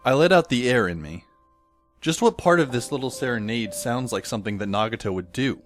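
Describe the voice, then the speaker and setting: A man's voice: mid-range voice, expressive, brisk, faint music behind, natural voice. A man playing a wry, skeptical teenage schoolboy who narrates his own story in an audio drama, with a sardonic manner.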